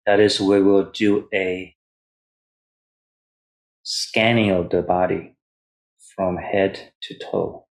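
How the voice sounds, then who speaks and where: A man with a low voice gives guided meditation instruction as a Buddhist teacher. He speaks very slowly, in short measured phrases. Long pauses separate each step of the instruction. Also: level tone, rough recording. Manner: refers to himself in third person as teacher.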